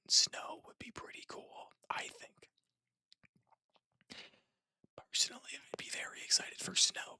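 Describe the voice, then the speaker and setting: A person whispering casual, rambling commentary while playing puzzles, musing aloud in a breathy, hushed voice close to the microphone. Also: medium pitch, no room sound. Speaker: host of a puzzle-game stream.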